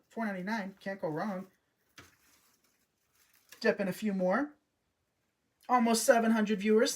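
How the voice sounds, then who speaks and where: A man hosting a live wreath-making stream, chatty and upbeat, often talking to his viewers as he works. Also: high voice. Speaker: host, wreath designer. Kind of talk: live craft demonstration.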